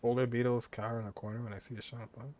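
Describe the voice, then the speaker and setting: A man with a medium-pitched voice chats casually over gameplay. His delivery is amused and offhand, like a streamer reacting as he plays. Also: little pitch movement, racing, darkish tone. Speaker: gaming streamer.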